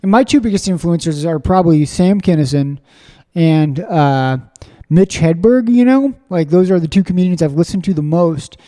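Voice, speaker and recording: A high-pitched man with a mellow, dark tone hosts a casual podcast chat. He rambles excitedly, piling up fillers and restarting sentences. His voice rings with a long reverb. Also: moderate pace. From Springfield, Missouri, USA. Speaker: podcast host. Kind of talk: casual conversational interview.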